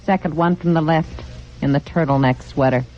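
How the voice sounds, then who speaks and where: An actress playing a flighty, soft-hearted, nervous wife in a mid-century American radio comedy, chattering hesitantly. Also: female voice, low-pitched, moderate pace, faint music behind, lightly acted. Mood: chatty certainty. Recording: muffled, noisy old radio recording.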